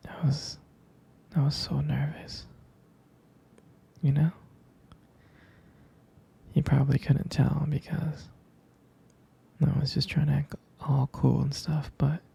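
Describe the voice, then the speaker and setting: Casting: male actor for possessive young boyfriend, ASMR roleplay. Soft, mellow whisper heavy with vocal fry, halting and pleading. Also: medium pitch, flat delivery, heavily acted. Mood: tender, nostalgic. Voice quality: breathy, husky whisper with gravelly creak. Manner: slow, halting, intimate, softly pleading. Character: possessive, tender, jealous young boyfriend comforting his sweetheart.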